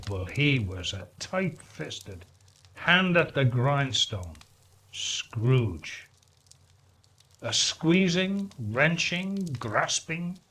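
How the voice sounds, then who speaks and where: A man reading a story aloud as a dramatic narrator, with deliberate, theatrical emphasis. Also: fairly high pitch, a bit bright, slightly rough. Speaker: story reader.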